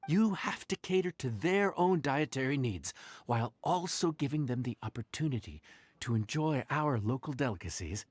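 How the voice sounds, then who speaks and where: An actor playing a modest, patient male inn chef in a video game, explaining things in an earnest, considerate, measured way. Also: mid-range voice, animated, dry recording, natural voice. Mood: reassuring.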